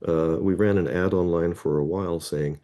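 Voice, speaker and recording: Male interview guest, middle-aged, from Toronto; rumbling bass voice, dark tone, chatty and digressive. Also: steady tone, normal speed. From Canada (Toronto). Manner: rambling, anecdotal, often rephrasing mid-sentence. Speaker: guest, head of a Scrabble players association. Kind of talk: casual interview storytelling.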